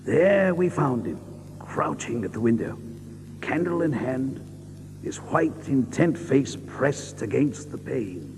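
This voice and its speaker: An actor playing an earnest, thoughtful gentleman doctor, measured and formal in an audiobook drama. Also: mid-range voice, expressive, gravelly. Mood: measured, reflective. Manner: measured, literary, formal phrasing. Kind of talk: dramatized audiobook narration and radio-play acting. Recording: long reverb, music underneath.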